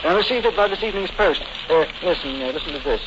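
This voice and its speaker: An actor playing a fussy, earnest, wealthy lord with an English accent, polite and hesitant in a 1940s radio drama. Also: male voice, a little high, lively intonation, fast. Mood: concerned. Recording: noisy, dull old 1940s radio recording.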